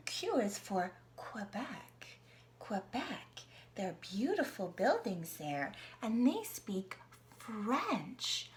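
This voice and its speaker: A young woman reads an alphabet picture book aloud to her niece, playful and animated, often dropping to a whisper. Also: high-pitched. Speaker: aunt reading to her niece.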